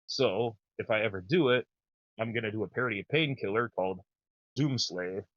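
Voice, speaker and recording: A man in his thirties with a naturally low voice, chatting casually and enthusiastically as a podcast host. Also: moderate pace, a bit bright, dry recording, dull audio. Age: 30s. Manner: rambling, enthusiastic. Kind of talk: casual chat.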